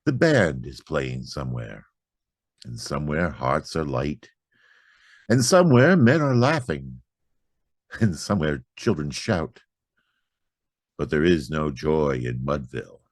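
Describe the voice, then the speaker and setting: A man's voice: rumbling low, very animated, unhurried, long pauses, no echo, clean studio sound. A man reading narrative poetry aloud in a theatrical, emphatic dramatic recitation with big dynamic swings. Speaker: poetry reader.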